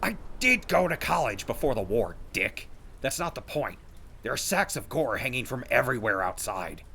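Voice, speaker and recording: A man playing a wry, weary, good-hearted and easily exasperated wasteland survivor in an audio drama, with a husky, gravelly voice. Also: medium pitch, big pitch swings, normal speed, bright, forceful tone, slight background noise, full character voice. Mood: disgusted. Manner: sarcastic, colloquial, exasperated. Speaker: protagonist and narrator. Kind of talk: post-apocalyptic audio drama acting.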